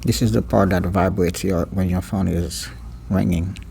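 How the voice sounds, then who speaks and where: A man giving an unscripted step-by-step repair tutorial, pausing mid-sentence and repeating words as he goes. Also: low voice, steady tone, gravelly, dark tone, slight background noise.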